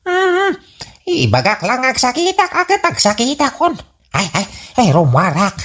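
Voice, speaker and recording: A man's voice: very high-pitched, huge pitch swings, measured pace, a bit bright, exaggerated voice. An actor playing a stubborn, whiny old Ilocano father in a radio comedy drama. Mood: whiny, complaining.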